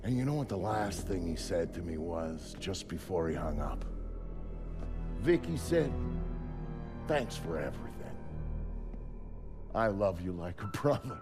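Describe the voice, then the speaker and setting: An actor playing a loyal, earnest friend in a video game, telling his story in a reflective, deliberate way. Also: sits low, sing-song. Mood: heartfelt. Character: earnest male war buddy, loyal and reflective. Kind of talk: cinematic video game acting. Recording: music under the voice.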